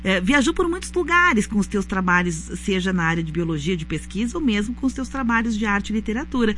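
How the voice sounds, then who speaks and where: A woman's voice: medium pitch, very animated, fairly quick, a little hiss or hum. Woman hosting a radio interview. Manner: warm conversational with frequent "né?" tags. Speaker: radio host.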